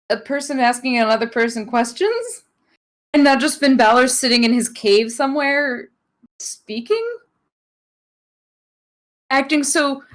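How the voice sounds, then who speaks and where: A woman's voice: high voice, a bit bright. A woman co-hosting a wrestling podcast in casual, sarcastic chat, teasing her co-host and laughing often.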